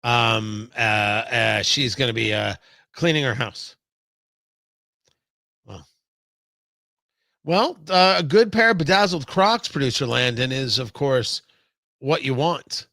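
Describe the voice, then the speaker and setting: A man's voice: medium pitch, slow, creaky, long pauses, bright, forceful tone, dry recording. A man hosting a live talk show, chatting casually with viewers in a playful, rambling way full of asides and mock indignation.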